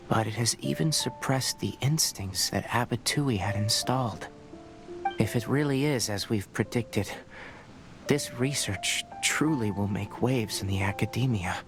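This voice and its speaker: A man voicing a calm, scholarly young forest ranger who speaks in a measured, explanatory, matter-of-fact way in a video game. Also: on the low side. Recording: game soundtrack behind. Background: game music.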